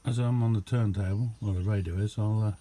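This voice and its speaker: A man's voice: lowish voice, steady tone, quick pace, warm tone. A man giving a casual, unscripted show-and-tell as a hobbyist, rambling along in an understated way. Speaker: hobbyist demonstrating a homemade radio.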